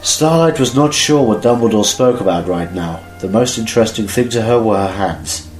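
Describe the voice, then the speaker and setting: Low-voiced man narrating in an echoey room. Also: expressive, moderate pace. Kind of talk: scripted fan-fiction narration. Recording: echoey room with faint music.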